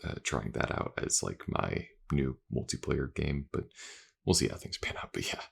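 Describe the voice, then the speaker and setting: A man with a deep, creaky voice talks as the host of his channel. He is chatty and informal, rambling a little as he addresses listeners directly. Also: animated, moderate pace. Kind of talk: casual outro to listeners.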